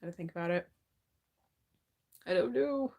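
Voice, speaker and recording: A woman's voice: lowish voice, animated, moderate pace, creaky, darkish tone, lo-fi sound. Chatty woman in her thirties. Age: 30s. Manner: chatty, upbeat, thinks aloud. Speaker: movie channel host. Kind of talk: casual live-stream Q&A, reading viewer comments. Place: her room at home.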